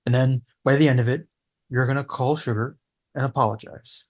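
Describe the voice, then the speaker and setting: A man's voice: medium pitch, steady tone, brisk, a little mellow. A man reading a pushy, friendly in-law's lines at a casual table read. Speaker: cast member at a table read.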